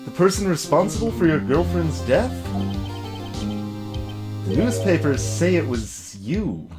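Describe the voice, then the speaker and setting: A man playing a composed woman mentor in a courtroom game. The delivery is soft and measured, with a lilting pitch and a supportive temper, while music plays behind. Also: very animated. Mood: concerned, questioning. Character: composed, supportive woman, senior lawyer and boss.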